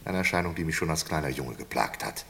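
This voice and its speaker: A man's voice: very deep voice, expressive, fast, gravelly, bright, forceful tone, full character voice. An actor playing a condemned prisoner in a radio crime play, unruffled and elaborately polite, drawing out his menace with mocking courtesy. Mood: calm polite menace.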